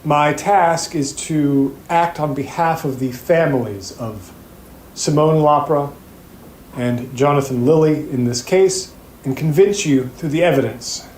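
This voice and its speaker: In a roomy, echoing space, a man acts as a grave attorney addressing a jury in an audio drama. He speaks slowly and formally at a middle pitch, earnest and measured, with deliberate pauses. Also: a little mellow, close to an everyday voice. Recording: roomy echo, faint background noise.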